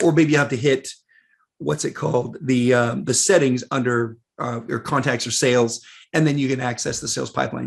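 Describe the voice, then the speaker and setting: A man with a raspy voice, losing it and clearing his throat, casually presenting a live software webinar with many fillers. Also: medium pitch. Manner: casual, many fillers, reads out viewer questions.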